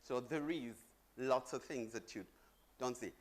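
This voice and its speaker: A man's voice: mid-range voice, a little slow. A middle-aged man from Zambia giving a chatty conference presentation on stage, joking with the audience. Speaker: conference speaker.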